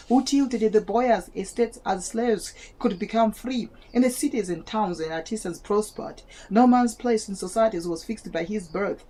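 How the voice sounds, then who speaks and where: A woman's voice: medium pitch, dark tone, poor audio. A woman with a South African and Malawian English accent, not a native English speaker, reads a history book aloud to her viewers with wondering asides and often stumbles and repeats words. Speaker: vlogger reading aloud.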